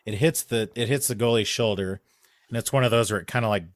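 A man in casual chat, telling a long story that gets louder and more animated as it goes. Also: mid-range voice, fast, no room sound, studio quality. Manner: animated storytelling, rising loud when excited.

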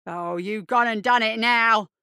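A sadistic young street bully, performed by a woman narrator, swaggering and taunting in rough street talk, pitched down and loud. The voice is clean and close, with a dry sound. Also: little pitch movement, moderate pace, no room sound, close to an everyday voice. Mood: menacing, gloating. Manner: taunting, grandiose, rough uneducated street speech.

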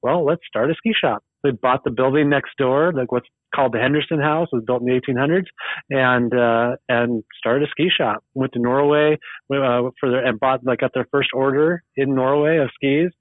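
A man's voice: medium pitch, fairly even intonation, normal speed, dry recording. Laid-back male guest from Oregon, chatty storyteller, narrow phone-band audio, dry. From Eugene, Oregon, USA. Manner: easygoing, laughs often, rambling storyteller. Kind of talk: casual podcast chat. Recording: tinny phone-like remote line.

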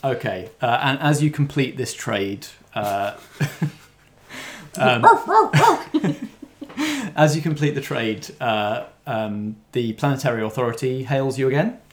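A man's voice: medium pitch, big pitch swings, slow. A man hosting a podcast and running a role-playing game, talking casually in hesitant, stop-start phrases. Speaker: podcast host and game master. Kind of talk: casual podcast chat and improvised game narration.

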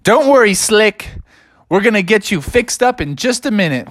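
A storyteller voicing a brisk, no-nonsense male zookeeper in a children's story. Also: medium pitch, sing-song, no echo, studio quality, voice pushed up. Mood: brisk reassurance.

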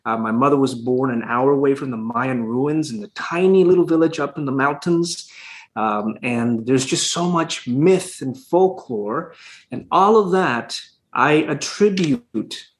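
A man from Honduras, an educator speaking as an interview guest in a thoughtful, measured way with frequent hesitations. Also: medium pitch. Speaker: classical school educator and guest. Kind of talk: conversational interview answers.

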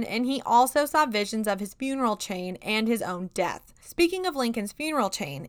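A woman's voice: on the high side, fairly bright tone, dry recording, clean studio sound. A woman hosting a podcast, reading scripted ghost stories in an earnest, plain manner while her voice is starting to give out. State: losing her voice. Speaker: podcast host.

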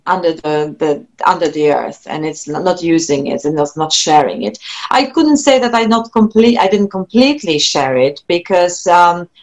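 A woman whose first language is Polish talks in an interview, with a clear Polish accent. She is a singer-songwriter who speaks thoughtfully, often restarting her sentences and laughing softly. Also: lowish voice, animated, moderate pace. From Poland. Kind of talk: reflective interview talk.

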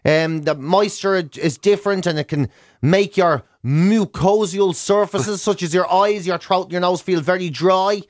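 Irish man, middle-aged radio host. High voice, dry studio sound, comic casual banter with mock indignation. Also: unhurried, no echo. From Ireland.

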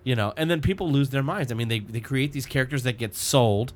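A man's voice: mid-range voice, brisk. A man chatting as a guest on a podcast, telling anecdotes and acting out the lines of people in them. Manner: animated storyteller, acts out dialogue. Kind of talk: casual chat, telling anecdotes.

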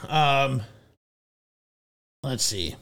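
A man hosting a relaxed podcast at home, with a voice sitting at an ordinary middle pitch. He chats casually, rambles and jokes, and leans on plenty of fillers as he goes. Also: very slow, gravelly. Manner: joking, rambling, many fillers. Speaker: podcast host. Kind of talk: casual chat.

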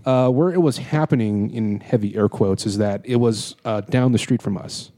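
Man, podcast host, rambling, filler-heavy, mellow, dry sound. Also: lowish voice, normal speed, no room sound. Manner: rambling, many fillers and restarts. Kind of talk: casual podcast chat.

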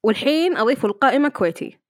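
A young Saudi woman with a touch of Hijazi talks quickly in playful podcast banter. She sounds close to the mic in a dry room. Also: high voice, animated, no room sound. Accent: Saudi Arabic with Hijazi touches. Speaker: podcast co-host. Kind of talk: casual chat.

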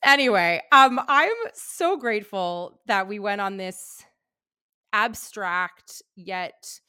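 Woman, podcast host, crisp smooth voice, close dry sound, effusive, conversational. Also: medium pitch, takes it slowly, bright, forceful tone, dry recording.

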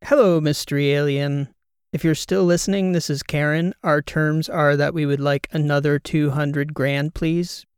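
A man's voice: a little high, steady tone, normal speed, smooth, dry recording, lightly acted. A man voicing a skeptical, exasperated woman podcast co-host in an audiobook, with a dry, sarcastic manner. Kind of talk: audiobook character dialogue.

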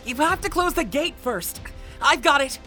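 Actor playing a scared smart kid son, high lively voice, audio drama with a bit of music. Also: moderate pace. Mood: anxious, urgent. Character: frightened, quick-witted young son fleeing with his father.

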